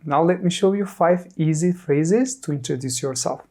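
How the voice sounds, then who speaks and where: A teacher in their thirties from Turkey, leading a repeat-after-me lesson and saying each word clearly, then again. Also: mid-range voice, unhurried, darkish tone. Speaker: French teacher.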